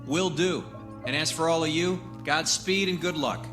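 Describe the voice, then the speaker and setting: Man acting a cynical wisecracker, high male voice, sardonic, scolding, radio drama, clear music behind. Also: moderate pace, close to an everyday voice. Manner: sardonic, needling. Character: wry, cynical, scolding man with a smoking habit. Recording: music under the voice.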